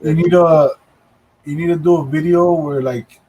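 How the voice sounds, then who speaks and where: A man in his thirties co-hosting a casual livestream, joking and chattering fast with his friends and the chat. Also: a little high, fairly even intonation, mellow, highs cut off. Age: 30s. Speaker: livestream co-host.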